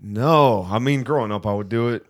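A man's voice: medium pitch, moderate pace. A man from Southern California with an American accent, chatting casually and candidly as a podcast guest. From Southern California, United States.

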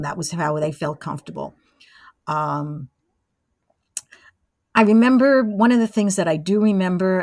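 A woman's voice: on the low side, no echo, clean studio sound. An older woman casually reminiscing as a guest in an interview. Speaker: interview guest, former college radio engineer.

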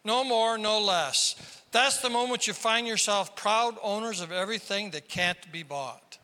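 A man from South Dakota preaching a sermon as pastor, with a squeaky tenor voice and emphatic delivery that climbs into sudden loud bursts. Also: unhurried. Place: large church hall. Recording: reverberant hall.

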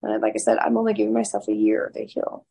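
A woman guest with a raspy voice, speaking loudly and frankly in a candid interview. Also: mid-range voice, very animated, fast talker. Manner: loud, frank and open.

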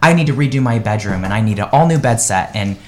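A man's voice: lowish voice, expressive, fast, a little mellow. A man from the United States, a real estate agent guesting on a live radio interview, talking in an upbeat, enthusiastic way.

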